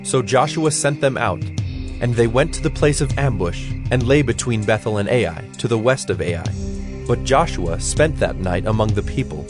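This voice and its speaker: A man narrating a dramatized scripture reading in a measured, solemn, scripted style. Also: medium pitch, expressive, music in the background.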